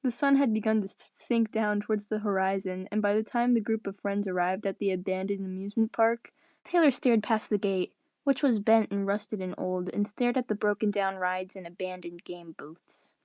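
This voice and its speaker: A woman's voice: mid-range voice, fairly even intonation, normal speed, a little mellow, dry recording. A young adult woman reading and chatting casually into a tablet microphone, in a self-deprecating, giggly manner. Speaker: amateur reader and narrator. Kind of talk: casual read-aloud of a story with self-mocking asides. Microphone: tablet's built-in microphone.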